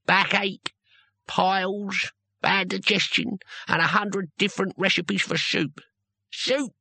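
A man voicing an eighty-seven-year-old toothless barbarian hero who talks in a slow, moody, self-pitying drawl. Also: sits high, fairly even intonation, vocal fry, sharp tone, husky, no room sound, voice pushed up, heavily acted. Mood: grumbling. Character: ancient toothless male barbarian hero, weary, grumbling.

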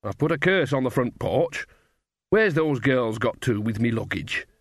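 A man voicing a bossy, cheerful old village witch mother in a pitched-up, chatty rustic dialect. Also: animated, moderate pace, no echo, studio quality.

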